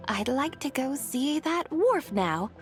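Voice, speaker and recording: A woman voicing an ancient, gracious immortal in a video game, with a formal, measured, old-fashioned manner. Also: high-pitched, sing-song, soft background music, full character voice. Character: ancient, dignified adeptus woman, gracious and lofty.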